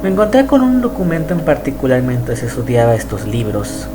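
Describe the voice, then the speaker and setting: A man reading a scripted horror narration in a measured, even way. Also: medium pitch, noisy background. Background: music.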